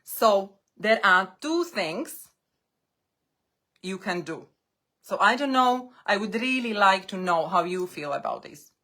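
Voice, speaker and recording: Slow-speaking woman coaching English learners. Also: mid-range voice, animated, sharp tone. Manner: slow, deliberate, speaks in short phrases with pauses; non-native English speaker. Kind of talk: live-streamed talk to language learners.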